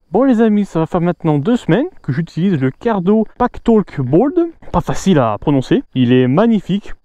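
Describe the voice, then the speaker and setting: A man's voice: on the high side, dark tone, dry recording, highs cut off. A man talking casually and informally to viewers as a motorcycle vlogger, explaining and reviewing gear.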